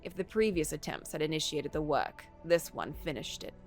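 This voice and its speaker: A woman's voice: low voice, animated, faint music behind, voice pushed down. Woman playing a formal, menacing fairy godmother. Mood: grave. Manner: formal, measured phrasing.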